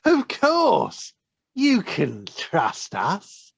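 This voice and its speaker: Villainous weasel, female actor: oily and insincere, gravelly, very slow, on muffled lo-fi call audio. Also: on the low side, huge pitch swings, full character voice. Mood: falsely sweet. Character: sly, falsely sweet villainous weasel. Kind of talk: amateur audio play acting. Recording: dull lo-fi video-call audio.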